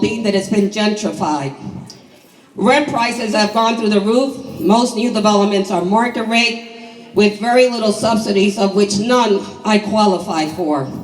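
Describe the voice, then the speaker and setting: A hoarse woman in her sixties from New York City gives an emphatic, deliberate prepared speech at a community meeting. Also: mid-range voice. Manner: emphatic, deliberate rhetorical delivery. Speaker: community housing activist. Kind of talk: prepared public speech at a town hall. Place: large indoor community hall. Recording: echoey hall, distant microphone.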